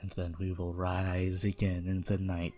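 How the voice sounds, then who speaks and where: A man playing a possessive, seductive vampire prince in a teasing, intimate ASMR roleplay with quiet menace. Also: deep voice, monotone, measured pace, soft background music. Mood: coaxing, menacing.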